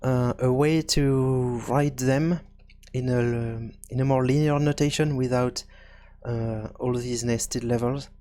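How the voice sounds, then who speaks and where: A man hosting a casual screencast programming tutorial, thinking aloud in a halting way and often repeating words as he goes. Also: medium pitch, darkish tone. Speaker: tutorial host and game developer.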